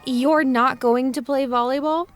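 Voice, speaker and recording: A woman's voice: sits high, steady tone, a little slow, dry recording. An actress playing a haughty, critical young woman with a pointed, dismissive delivery in a fan audio drama. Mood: irritated, pointed.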